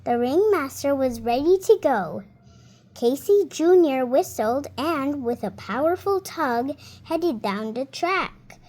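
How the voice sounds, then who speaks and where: A girl of about seven reading a storybook aloud in a careful, deliberate manner. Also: high-pitched, lively intonation, a little mellow. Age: child, about seven. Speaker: young reader.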